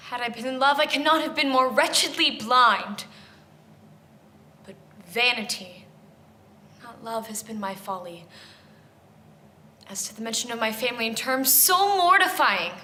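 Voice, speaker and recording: Regency heroine, woman actor: proud, humbled, formal stage delivery, high and forceful. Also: a little hiss or hum. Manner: formal, measured, self-reproaching declamation. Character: proud, humbled young Regency gentlewoman ashamed of her folly. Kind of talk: theatrical stage monologue.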